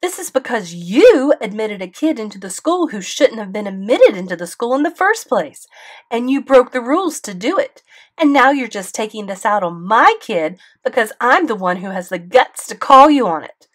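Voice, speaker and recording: A woman reading aloud, voicing an indignant, furious mother who talks in a shrill, strident voice. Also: lively intonation, brisk, hardly any pauses.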